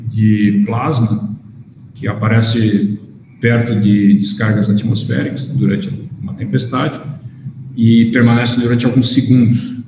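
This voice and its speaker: A man's voice: on the low side, dark tone. A Brazilian man, a native Portuguese speaker, lecturing informally as a researcher with a hesitant, stop-and-start delivery. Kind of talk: informal lecture with questions and answers, switching between Portuguese and English. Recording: echoey hall, dull sound, faint noise.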